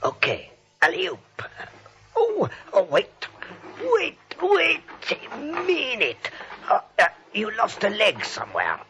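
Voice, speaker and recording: An actor playing a nervous, soft-hearted crooked doctor, muttering and fussing in a radio comedy. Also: male voice, high voice, huge pitch swings, takes it slowly, heavily acted. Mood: flustered, furtive. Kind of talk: 1940s radio comedy acting. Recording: muffled old radio recording.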